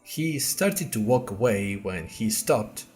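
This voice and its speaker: A man's voice: fairly low pitch, lively intonation, slow pace, a little mellow, soft background music. A man playing a bewildered, earnest young college newcomer, narrating an audio diary podcast in a wry, hesitant, conversational way straight to listeners. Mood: puzzled, storytelling. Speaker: narrator and podcast host character. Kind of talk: first-person audio fiction narration.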